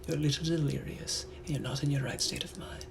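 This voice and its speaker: A young man putting on a British accent to play a suave, menacing nineteenth-century gentleman, talking smoothly, often half-whispered, and calling everyone darling. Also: a little high, fairly even intonation, fast, background noise. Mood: soothing, firm. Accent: British, put-on. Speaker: sketch creator. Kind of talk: POV comedy sketches.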